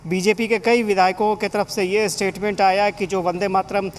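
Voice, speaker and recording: A man's voice: high voice, little pitch movement, moderate pace, slight background noise. A man reporting live for television news, explaining at length with frequent hesitations.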